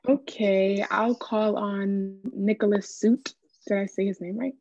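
Dull lo-fi sound, woman, gravelly fry, medium pitch, casual. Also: fairly even intonation, unhurried, dark tone, no room sound. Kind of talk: casual introduction on a video call. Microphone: video call. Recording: dull lo-fi video-call audio.

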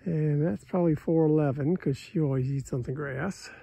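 A folksy American man narrates farm chores in an open pasture. His voice is very dark and mellow, with a creaky, husky grain, and he talks casually into a handheld phone. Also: on the high side, moderate pace, no room sound. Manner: folksy, plain-spoken. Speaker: cattle farmer, vlogger. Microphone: handheld phone held by the speaker behind the camera.

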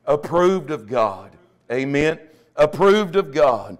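A man's voice: a little high, sing-song, takes it slowly. A middle-aged man, preaching a Pentecostal sermon emphatically and punctuating it with amens. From United States. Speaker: pastor. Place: small church, at the pulpit indoors. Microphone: lapel mic.

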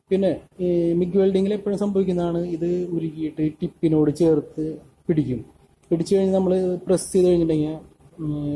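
Halting Malayali man, dark-toned welding tutor. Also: a little high, a little hiss or hum, low-quality recording. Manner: halting, many filler sounds and restarts. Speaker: welding instructor. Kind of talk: hands-on tutorial voice-over. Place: workshop at a welding table.

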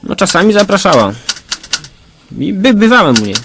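An actor playing a defensive bank escort giving short, halting answers under questioning in a crime radio drama. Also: male voice, high voice, very animated, noisy background. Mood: uneasy, guarded.

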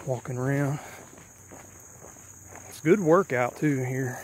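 A man's voice: medium pitch, takes it slowly, slight background noise. A man vlogging as he walks an overgrown country road, a little tired, thinking aloud in a loose, rambling way. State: a little tired from a long walk. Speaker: vlogger exploring an abandoned road. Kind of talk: casual walking vlog. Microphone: handheld or body camera while walking. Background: faint insects and rural ambience.

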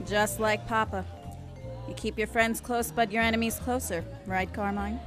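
A woman's voice: medium pitch, steady tone, moderate pace, music in the background, natural voice. An actress playing a sly, cool female mobster in a radio noir drama, sardonic and pointed.

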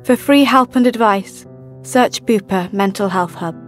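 A woman voice actor reading scripted commercial and documentary narration in a polished, measured way. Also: medium pitch, soft background music.

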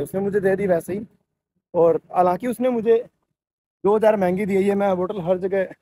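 A man's voice: high-pitched, warm tone. A man chatting casually to camera as a fitness vlogger, on a handheld phone. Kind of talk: casual vlog chat to camera, Hindi mixed with English. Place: outdoors on busy streets, later inside a gym. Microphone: phone held at arm's length. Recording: noisy street and gym ambience, traffic.

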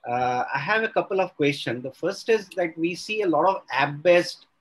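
Polite middle-aged Indian judge questioning. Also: male voice, fairly high pitch, animated, normal speed, breathy edge. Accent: Indian English. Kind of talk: formal Q&A on video call. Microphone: video call.

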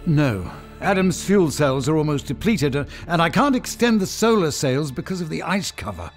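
Professor: excitable academic, high-pitched and lively, space adventure audio drama over music. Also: male voice, moderate pace. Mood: urgent. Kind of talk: sci-fi audio drama acting.

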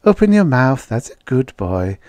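A man voicing a brisk, bossy, stubbornly practical woman settler in a story, coaxing and defiant by turns. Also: medium pitch, big pitch swings, darkish tone, no room sound, pitched above the performer's own voice, lightly acted. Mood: coaxing, instructing.

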